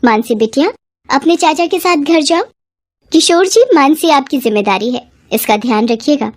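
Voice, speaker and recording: A woman voicing a kind, protective orphanage keeper in a cartoon moral story, gentle and reassuring. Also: sits high, expressive, moderate pace. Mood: kindly. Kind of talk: animated Hindi moral story.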